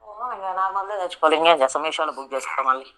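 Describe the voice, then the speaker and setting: A woman's voice: low-pitched, expressive, very fast, a bit bright. A woman with a Telangana accent in a casual phone conversation, talking bluntly and argumentatively. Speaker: friend on the receiving end of a prank call.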